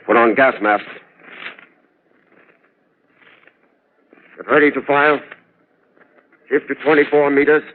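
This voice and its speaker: A narrow, tinny vintage broadcast with faint noise carries a man acting a stern artillery commander. His mid-pitched voice gives terse, commanding military orders in the manner of 1930s radio drama. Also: moderate pace, lightly acted. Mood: urgent. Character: commanding army artillery officer barking firing orders, stern.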